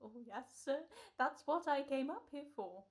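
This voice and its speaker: Radio play: eccentric, courteous old gentleman salesman played by a woman, high swooping voice, folksy and hesitant. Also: expressive, moderate pace. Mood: polite, grateful. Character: eccentric, polite old gentleman peddling coffins. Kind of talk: old-time radio comedy-horror acting.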